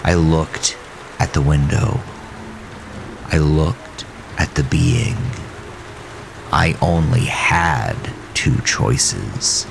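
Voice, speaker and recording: A man narrating a first-person horror story in a deliberate, suspenseful, dramatic way with a gravelly voice. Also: rumbling low. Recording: rain and storm noise behind voice. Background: rain, storm ambience.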